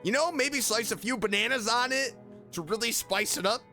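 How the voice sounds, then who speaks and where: A man hosting a casual reaction video as a game fan, talking in an enthusiastic, expressive way. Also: very high-pitched, normal speed. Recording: music behind.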